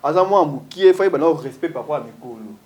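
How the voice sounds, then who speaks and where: A man chatting as a guest, rambling and animated with lots of fillers, switching into Lingala. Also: a little high, very fast, a little hiss or hum. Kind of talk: casual chat mixing French and Lingala.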